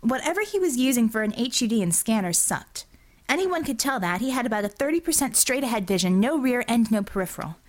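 A woman's voice: on the high side, expressive, quick pace, pitched above the performer's own voice, close to an everyday voice. A woman voicing a defiant, sarcastic young female healer in an audiobook, arguing in a flippant, clipped way. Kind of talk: audiobook character dialogue.

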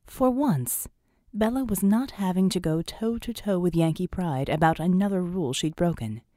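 A woman's voice: on the low side, animated, moderate pace, warm tone, no room sound. Woman narrating fiction, very dry sound. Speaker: audiobook narrator. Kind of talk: expressive scripted fiction narration.

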